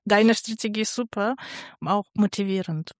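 A woman's voice: medium pitch, a little slow, dry recording. Encouraging woman host, dry sound. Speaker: podcast host. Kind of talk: interview questions.

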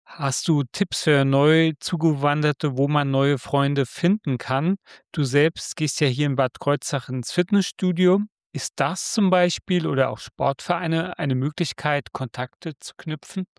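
A man's voice: a little high, unhurried, dry recording, clean studio sound. A man co-hosting an interview, asking friendly questions and affirming his guest. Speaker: podcast co-host.

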